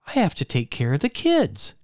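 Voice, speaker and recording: A man voicing a shrill, furious young wife and mother who screeches accusations at her husband. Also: wildly swooping pitch, moderate pace, a little mellow, no echo, clean studio sound.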